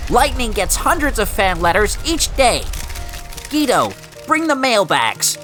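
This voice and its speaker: A man voicing an excitable, proud little car in a children's storybook. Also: sits high, unhurried, soft background music, voice pushed up, heavily acted. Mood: defiant pride.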